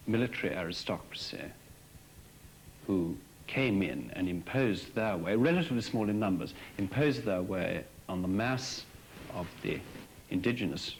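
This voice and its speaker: A formal, hesitant man from Ireland speaks slowly, stopping to pick his words. His voice is very low and gravelly, yet it rises and falls with lively animation. A faint hiss sits under the recording. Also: poor audio. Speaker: interviewee. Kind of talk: measured interview reflection.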